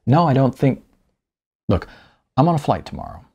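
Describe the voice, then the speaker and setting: A man reading the audiobook dialogue of an evasive, awkward aging male journalist, halting and hedging. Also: mid-range voice, big pitch swings, a little mellow, breathy edge, pitched above the performer's own voice.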